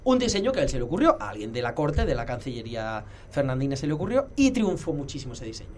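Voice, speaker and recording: A man's voice: medium pitch, quick pace, a little hiss or hum. A man explaining things informally in a didactic way, often correcting himself and checking that he is followed. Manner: didactic, animated asides, self-correcting, checks with "¿no?". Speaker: guest expert on heraldry history.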